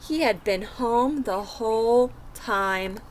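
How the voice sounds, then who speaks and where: A woman's voice: fairly high pitch, slow, slight background noise, low-quality recording. A woman voicing a self-justifying storybook wolf, folksy and emphatic, protesting his innocence. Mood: smugly defensive. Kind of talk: dramatic storybook reading.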